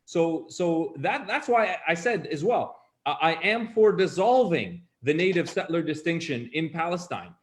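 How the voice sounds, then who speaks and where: A man's voice: fairly high pitch, lively intonation, normal speed, few pauses. A male panelist in an online debate who argues earnestly with many repeated words and restarts.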